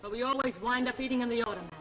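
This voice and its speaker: A woman's voice: on the high side, rapid-fire, natural voice. An actress playing a mocking, impatient girlfriend with sarcastic, slangy talk in a 1950s drama. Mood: sarcastic, fed up. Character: sardonic, mocking, impatient girlfriend of a young hustler. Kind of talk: 1950s television drama acting. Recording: muffled 1950s broadcast, music behind.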